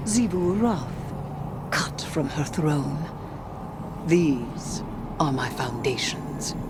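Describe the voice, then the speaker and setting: Low, solemn dark sorceress. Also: female voice, lively intonation, heavy background noise, full character voice. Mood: proud, challenging. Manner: solemn, deliberate, formal. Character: grave, resolute dark sorceress, proud and vengeful. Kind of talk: dramatic video game dialogue.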